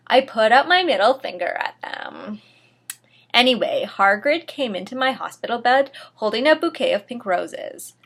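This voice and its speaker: A woman's voice: a little high, lively intonation, moderate pace, a bit bright. A woman giving a theatrical dramatic reading of a fan fiction story, with emphatic narration and dry asides.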